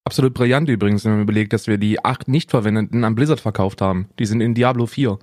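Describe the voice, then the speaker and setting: A man's voice: lowish voice, fast talker, a little mellow, no echo. A man co-hosting a podcast in casual, slangy, jokey banter, recorded close to the microphone. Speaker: podcast co-host.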